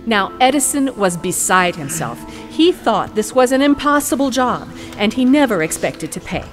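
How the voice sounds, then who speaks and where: A woman's voice: on the high side, big pitch swings, moderate pace, soft background music. A woman playing an assertive lawyer in a courtroom audio drama, arguing formally and rhetorically. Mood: wry, narrating.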